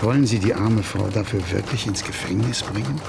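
A man's voice: fairly low pitch, fairly quick, vocal fry, background noise. An actor playing a formal, firm man protectively and indignantly defending a woman in a radio crime drama. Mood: protective, urgent.

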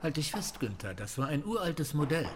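Higher-voiced male firearms expert amid gunfire. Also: sing-song, brisk. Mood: keen. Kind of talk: radio drama, conversational briefing. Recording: gunfire and clear noise behind.

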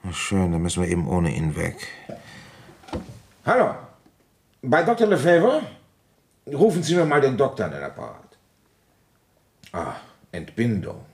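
Deep-voiced, grumbling village police commissioner. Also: male voice, wildly swooping pitch, a little slow, pauses often, husky, heavily acted. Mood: brisk, official. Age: middle-aged. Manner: grumbling, brusque, dryly resigned. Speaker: narrator and lead character. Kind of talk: German radio crime drama acting.

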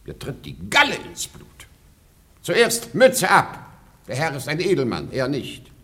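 A man's voice: a little high, huge pitch swings, unhurried, bright, forceful tone, heavily acted. A man playing a pompous, haughty older nobleman father-in-law in a radio comedy, commanding and declamatory. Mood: haughty, stern. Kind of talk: German radio play acting of classic comedy.

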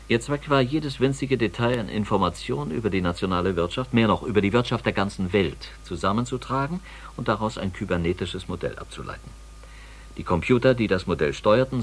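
Tiefer, rauer, nüchterner Hörspielerzähler. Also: male voice, deep voice, slightly rough, full character voice. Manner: sober, deliberate, factual. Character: male documentary narrator, sober, measured and grave. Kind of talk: scripted radio drama narration.

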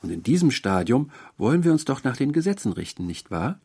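A man's voice: medium pitch, sing-song, brisk, dark tone, slightly rough, pitched above the performer's own voice. A man voicing a sweet, doting but eerie old landlady, gushing and overly polite, in an audiobook. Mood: doting, insistent. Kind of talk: audiobook character voice.

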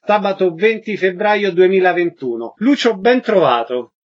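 A man's voice: sits high, animated, moderate pace. A man hosting a radio interview, courteously putting short questions and making announcements. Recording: slightly dull, lo-fi radio sound.

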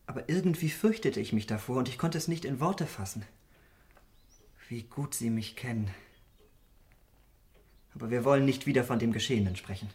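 An actor playing a sensitive, self-absorbed young gentleman in a German radio drama, murmuring and introspective. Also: medium pitch, moderate pace, pauses often, slightly rough, lightly acted. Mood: weary, relieved. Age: young adult.

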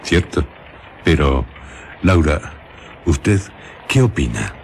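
A grave gentleman questioner from a gothic radio play, with a Spain accent. His voice is extremely deep. He speaks deliberately, over faint background noise. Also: big pitch swings, exaggerated voice. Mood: grave, inquiring. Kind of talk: radio drama acting.

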